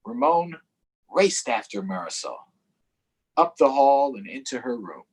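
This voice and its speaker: Animated, husky man hosting children's storytime. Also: mid-range voice, measured pace. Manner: addresses young viewers directly. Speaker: storytime host, councilmember. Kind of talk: children's read-aloud and live chat.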